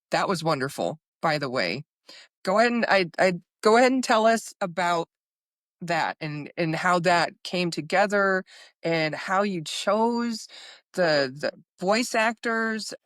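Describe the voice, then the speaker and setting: Female podcast host, slightly low register, effusive conversational interviewer, dry recording. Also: a bit bright, dry recording. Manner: effusive, encouraging.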